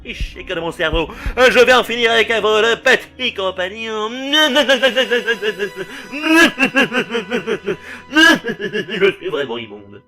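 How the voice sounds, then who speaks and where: A man's voice: high-pitched, sing-song, drawn-out words, pushed and bright, heavily acted. A man playing a gleeful, vain villain knight in a comic anime parody dub, pompous and mock-dramatic. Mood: gloating. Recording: faint music behind the voice.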